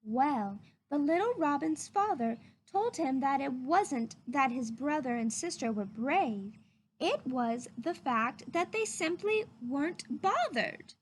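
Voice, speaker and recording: A woman voicing a gentle, patient mother raccoon telling a tale in a storybook, sweet and soothing. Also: high voice, expressive, slow, slight background noise, rough recording. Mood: patient, explaining. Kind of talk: storybook character voice.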